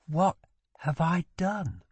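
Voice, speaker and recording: A man acts out a wounded young prince in an audiobook, his voice lifted high and swinging widely. He is petulant, demanding and theatrical, with playfulness over guilt. The recording is dry and close. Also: big pitch swings, moderate pace, dry recording. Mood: remorseful. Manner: scornful, dramatic, demanding.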